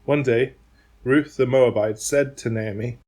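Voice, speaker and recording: A man's voice: mid-range voice, steady tone, unhurried, darkish tone. A man narrating a dramatised Bible reading from a script.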